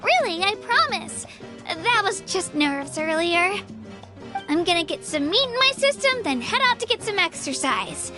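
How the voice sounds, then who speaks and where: A woman's voice: extremely high pitch, sing-song, a little slow, full character voice. A woman voicing an earnest, emotional young girl adventurer, determined but easily moved, in a video game. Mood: brightly reassuring. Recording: music behind the voice. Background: music.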